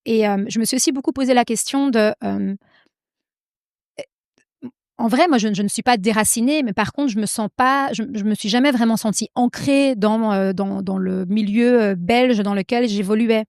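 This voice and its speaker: Une femme belge à l'belge, autrice invitée, qui parle de façon hésitante avec beaucoup de « euh » et de « en fait ». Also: female voice, on the high side, moderate pace, darkish tone, no echo, studio quality. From Belgium. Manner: hesitant, many fillers and restarts. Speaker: guest author. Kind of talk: casual interview conversation.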